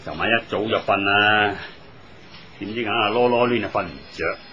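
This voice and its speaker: A man's voice: fairly low pitch, moderate pace, dull audio, slight background noise. An actor playing a weary, grumbling, exasperated working man in a 1980s Hong Kong Cantonese radio drama. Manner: grumbling, sighing, excitable.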